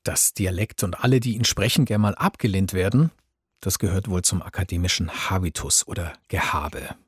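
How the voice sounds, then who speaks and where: A man with a husky, raspy voice presenting a radio magazine as its host. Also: deep voice, normal speed, no room sound, studio quality.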